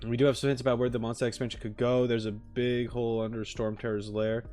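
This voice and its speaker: A man's voice: medium pitch, little pitch movement, quick pace, mellow. A man chatting casually as a livestream host, thinking aloud in short, informal, trailing phrases. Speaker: streamer and reaction host. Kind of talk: casual livestream chat, musing about a game. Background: background music.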